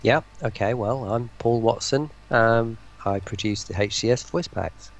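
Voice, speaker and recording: A man giving informal, halting answers as a guest in a casual chat. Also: mid-range voice. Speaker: interview guest, voice pack producer.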